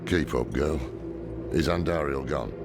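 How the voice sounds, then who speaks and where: An actor voicing a gruff, weary old scholar and mentor in a video game, curt and sardonic, with a gravelly voice. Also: male voice, exaggerated voice. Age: older. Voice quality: deep, gravelly. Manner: curt, dry, deliberate. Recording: music behind.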